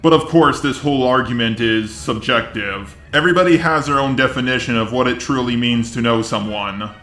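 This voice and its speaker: A man reads scripted commentary in a measured, conciliatory manner. His voice sits at an average male pitch and sounds roomy and echoing, with faint music underneath. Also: fairly bright tone. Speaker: YouTube commentator.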